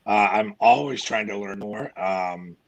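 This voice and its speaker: A middle-aged man with a hoarse, raspy voice, chatting as a guest over a video call from home, friendly and halting with many uhs. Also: lowish voice, low-quality recording. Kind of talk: casual interview chat. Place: home room. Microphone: video call webcam.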